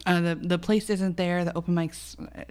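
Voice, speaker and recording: Brief: thirties woman guest, chatty and self-deprecating, lowish voice. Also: fairly even intonation, moderate pace, a little mellow, smooth. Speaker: singer-songwriter guest. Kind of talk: casual podcast chat.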